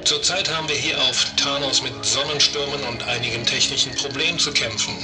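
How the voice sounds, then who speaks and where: A man's voice: medium pitch, close to an everyday voice, rough recording. A man playing a matter-of-fact spaceport radio operator in a science-fiction radio play, with formal, procedural phrasing. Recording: loud music behind the voice.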